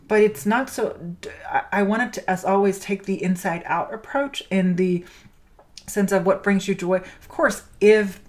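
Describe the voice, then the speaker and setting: A woman's voice: medium pitch, measured pace. A Danish woman, a native speaker of Danish, talking as a spiritual business coach in an earnest, encouraging way, with many fillers and restarts. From Denmark. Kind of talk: solo podcast monologue.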